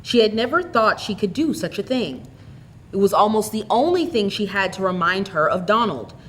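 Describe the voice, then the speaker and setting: A woman reading a short story aloud as an expressive, scripted narrator. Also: medium pitch, normal speed, a little hiss or hum. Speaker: story reader.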